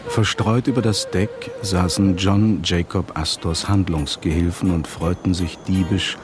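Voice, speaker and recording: Solemn male storyteller for radio drama, low register, standard German, measured, music underneath. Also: steady tone. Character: grave male narrator of a shipwreck tale. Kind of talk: scripted radio play narration.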